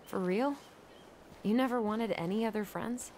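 A woman's voice: on the high side, normal speed, darkish tone, a little hiss or hum, close to an everyday voice. An actress playing an earnest, conciliatory young small-town woman with an American accent in a video game, speaking conversationally. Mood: soft, friendly. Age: young adult. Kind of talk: video game dialogue acting.

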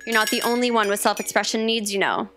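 A woman's voice: a little high, fairly even intonation, fast talker, over loud music, natural voice. A young woman playing a self-willed female countdown program on stage, blithe and needy. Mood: self-assertive. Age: young adult. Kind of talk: staged comic audio drama acting. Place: theatre stage before an audience. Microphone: stand microphone.

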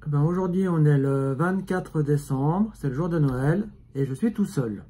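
A man's voice: fairly high pitch, unhurried, warm tone, breathy edge. A man in his fifties, a native Italian speaker, hosting a casual home vlog and filling his talk with "voilà", filmed on a phone at arm's length in a small room. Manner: chatty, fills with "voilà". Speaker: vlog host. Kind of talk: casual chat.

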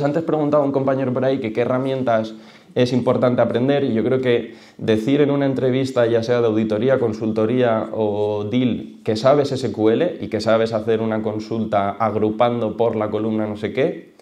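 A man's voice: mid-range voice, fairly even intonation, moderate pace, dark tone. A young man with an accent from Spain, informally explaining his work as a data analyst to students. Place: large auditorium, panel table.

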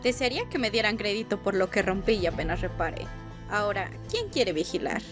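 A woman voicing a tough, unimpressed young cowgirl in a Latin American Spanish cartoon dub, curt and deadpan. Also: medium pitch, a little fast, natural voice. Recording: music behind the voice.

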